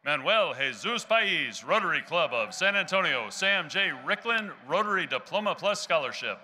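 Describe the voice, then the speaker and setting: Loud bright young man reading names. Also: a little high, very animated, slight background noise. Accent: Texan. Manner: loud, measured announcing of names. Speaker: graduation name reader. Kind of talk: reading graduate names at a ceremony. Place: large indoor arena stage. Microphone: podium microphone.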